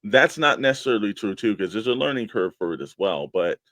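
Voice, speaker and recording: A man's voice: fairly low pitch, lively intonation, brisk, a bit bright, dry recording. A man co-hosting a casual talk show about a hobby, speaking in an informal, rambling way. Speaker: show co-host. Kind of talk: casual chat about toy collecting.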